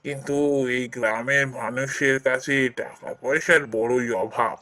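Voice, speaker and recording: A man playing a kind, generous old village landlord in a cartoon fairy tale, explaining things in a measured way. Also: medium pitch, steady tone, sharp tone. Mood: benevolent, earnest.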